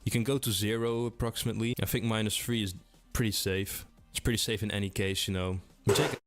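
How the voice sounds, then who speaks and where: A man giving a relaxed, chatty tutorial, talking the viewer through settings step by step. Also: on the low side, quick pace, faint music behind. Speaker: tutorial presenter. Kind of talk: explaining audio settings step by step.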